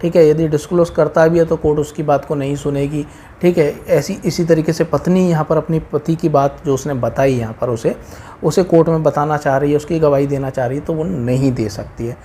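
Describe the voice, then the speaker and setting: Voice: medium pitch, a little fast, warm tone, slight background noise. A speaker with an Indian accent explaining law informally to viewers, repeating points and mixing in English legal terms.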